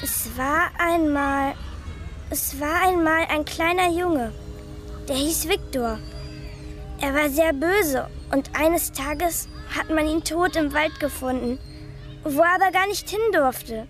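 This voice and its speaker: A woman's voice: high-pitched, slow pace, fairly bright tone, soft background music. An actress playing a seven-year-old girl in a radio drama, telling things in an earnest, childlike, matter-of-fact way. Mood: eerie, earnest.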